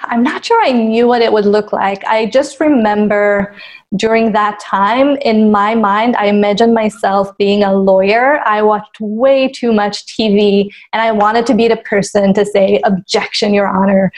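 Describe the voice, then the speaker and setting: A woman's voice: mid-range voice, steady tone, measured pace. A woman from Israel, a marketing executive, answering interview questions in a thoughtful, candid way.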